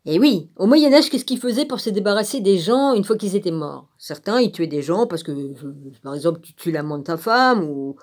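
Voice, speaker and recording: A woman's voice: medium pitch, lively intonation, fairly quick, few pauses, darkish tone. Blunt, irritated woman ranting informally. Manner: blunt, irritated, crude colloquial speech; sarcastic, punctuates phrases with rhetorical tags. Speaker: vlogger. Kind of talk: rambling informal rant.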